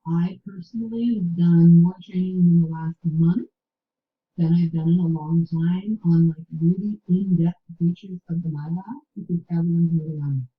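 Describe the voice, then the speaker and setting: Woman, low-ish voice, casual sales-presentation tone; echoey room, muffled lo-fi audio. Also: fairly even intonation, normal speed. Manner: casual, filler-heavy, with light laughs. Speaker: publisher sales representative. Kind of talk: online product presentation.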